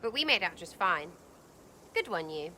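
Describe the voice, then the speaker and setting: A woman's voice: on the low side, big pitch swings, moderate pace, bright, forceful tone, a little hiss or hum. An actress with an English accent playing a cheeky, blunt, hot-tempered young female rogue in a video game. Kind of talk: video game cutscene acting.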